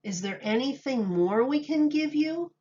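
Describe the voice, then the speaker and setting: Puzzled, eager townsfolk from a storybook, all voiced by one woman. The voice is raised in pitch and exclaims its questions at a slow pace, on a muffled recording. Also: expressive, mellow.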